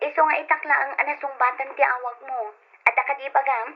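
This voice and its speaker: An actress playing a flustered, defensive, pleading wife in an Ilocano radio drama, with an Ilocano accent. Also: female voice, sits high, fairly even intonation, fast talker, dull audio. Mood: evasive.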